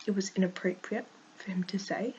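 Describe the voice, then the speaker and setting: Female vlogger, croaky from a cold, frank and exasperated, laughs a lot; slightly dull, faintly noisy recording. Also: medium pitch, steady tone, a little fast, a little mellow. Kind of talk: casual vlog rant.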